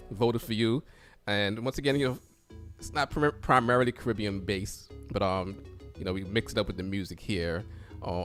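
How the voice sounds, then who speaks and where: A man with a low voice hosts a live radio interview. He talks informally and keeps recapping and thanking. Faint music plays behind him. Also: fairly bright tone. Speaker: radio show host.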